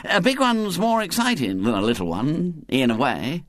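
A man's voice: a little high, sing-song, moderate pace, a bit bright, no room sound, clean studio sound, pitched above the performer's own voice. A man voicing an earnest, imaginative schoolboy who speaks thoughtfully.

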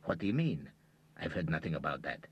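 A man voicing a scheming man who talks in a smooth, falsely friendly way. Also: rumbling low, big pitch swings, a little fast, dull audio, heavily acted.

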